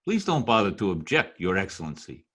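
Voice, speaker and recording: Man playing a husky, scheming political climber. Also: low-pitched, wildly swooping pitch, a little fast, fairly bright tone, full character voice. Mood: insinuating. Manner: formal, insinuating. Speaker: radio drama actor. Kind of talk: old-time radio drama acting.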